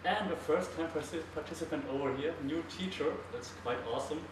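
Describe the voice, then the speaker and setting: A man from Germany hosting a casual student hangout, upbeat and quick to laugh. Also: a little high, background noise. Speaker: host. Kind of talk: casual chat. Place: classroom. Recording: echoey room, far from the mic.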